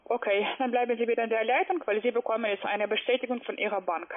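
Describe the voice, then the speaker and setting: A woman working as a call-center caller, speaking halting, broken German with many hesitant fillers. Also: on the high side, a little hiss or hum. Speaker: phone scam caller. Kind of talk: phone call. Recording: narrow phone line.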